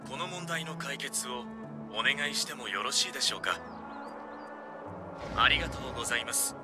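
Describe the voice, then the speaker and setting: An actor playing a small, polite, helpful robot caretaker in an anime game, speaking in formal, announcement-like phrases. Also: male voice, medium pitch, moderate pace, natural voice. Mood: grateful, businesslike. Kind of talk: Japanese anime game dub acting. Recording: game music behind.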